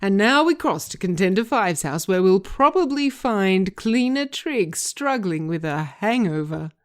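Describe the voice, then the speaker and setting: A woman's voice: mid-range voice, animated, unhurried, close to an everyday voice. A woman voicing a showy, gleefully goading male reality TV host with announcer-like suspense in a scripted reading. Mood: teasing announcement.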